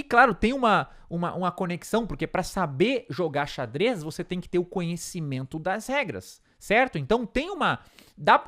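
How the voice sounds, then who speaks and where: A man's voice: sits high, a bit bright. A man with a Brazilian Portuguese accent teaching an English lesson, explaining step by step and checking in with frequent tag questions.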